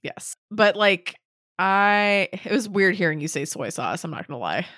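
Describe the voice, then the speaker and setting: Young woman co-host, lively sing-song delivery, slightly cold-roughened voice, dry close mic. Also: medium pitch, moderate pace, no echo. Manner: laughing, loose, swearing freely. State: recovering from a cold, voice a bit off. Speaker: podcast co-host. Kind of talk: casual chat.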